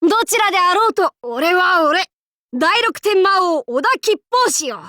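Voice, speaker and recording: A woman's voice: extremely high pitch, expressive, a little slow, pushed and bright, dry recording, studio quality, full character voice. A woman voicing a bold, androgynous young demon-king warlord in an anime game, with boastful, archaic lordly speech and playful swagger. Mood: cheerful, boisterous. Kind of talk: anime game character voice lines.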